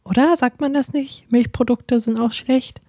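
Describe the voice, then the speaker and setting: A woman's voice: high voice, fairly even intonation, normal speed, warm tone, dry recording, studio quality. A woman co-hosting a podcast in casual chat, rambling with unsure asides while she has a cold. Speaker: podcast co-host. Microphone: remote recording, separate from co-host.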